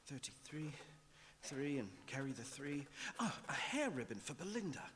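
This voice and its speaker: A man's voice: on the high side, sing-song, normal speed. A man playing a meek, timid clerk in a radio drama, hesitant and deferential. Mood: absorbed muttering. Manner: hesitant, stammering, apologetic. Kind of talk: old-time radio drama acting. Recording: noisy distant stage recording.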